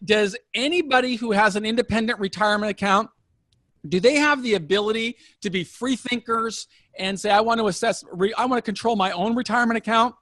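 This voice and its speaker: Male interviewer, fifties, high-pitched voice, dry close sound, inquisitive and methodical, talks conversationally. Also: fairly bright tone, no room sound. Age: 50s. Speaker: interviewer asking about retirement investing.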